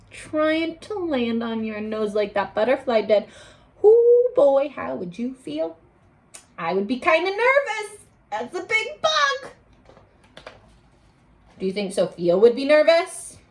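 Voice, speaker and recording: Female teacher for a kids' read-aloud: unhurried delivery, big expressive swings, invites children to answer. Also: high voice. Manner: expressive, exclamatory, coaxing children to respond. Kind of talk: animated children's read-aloud with questions to listeners.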